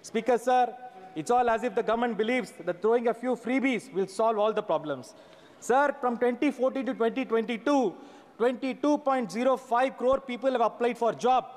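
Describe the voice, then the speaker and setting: A man's voice: extremely high pitch, normal speed, a little mellow. A man in his forties giving a sarcastic budget speech in a large parliament chamber, reading from notes into a desk microphone. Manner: sarcastic, reads from notes with hand gestures. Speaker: member of parliament. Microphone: fixed desk microphone. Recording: long chamber reverb.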